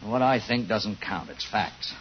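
An actor playing a weary but loyal police superior who goes by the book, in a 1950s radio thriller. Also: male voice, on the low side, a little slow, natural voice. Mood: resigned, firm. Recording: muffled, slightly noisy vintage radio recording.

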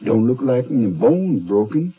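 Folksy old tattoo man, swooping pitch. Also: very animated, moderate pace, husky. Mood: wary, gruff. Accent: American. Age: older. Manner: folksy, drawling, slangy patter. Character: old leathery tattoo parlor man, folksy, wily hawker. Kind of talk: 1970s radio drama acting. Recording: dull, band-limited 1970s radio recording.

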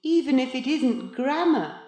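A woman voicing a smug, gloating cat who has become a boy in a children's story, taunting with drawn-out mock politeness. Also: sits high, expressive, dark tone, echoey room, pitched above the performer's own voice. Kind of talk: children's storybook character reading.

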